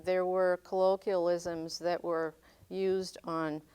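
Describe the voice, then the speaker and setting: Older woman, French her first language, unhurried oral-history telling, lowish smooth voice. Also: flat delivery, warm tone. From northern Maine, United States. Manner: unhurried, reflective, frequent ums. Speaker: interviewee.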